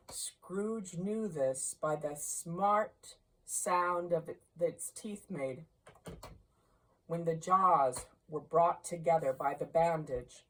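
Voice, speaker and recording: A woman reading a classic story aloud as expressive, deliberate narration. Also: sits low, recorded from a distance, low-quality recording. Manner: measured, deliberate. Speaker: storybook reader.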